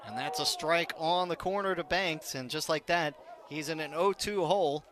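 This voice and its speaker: A man's voice: on the high side, normal speed, noisy background. A man calling a live baseball game on the radio as play-by-play announcer, in clipped, descriptive phrases. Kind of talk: live radio sports commentary.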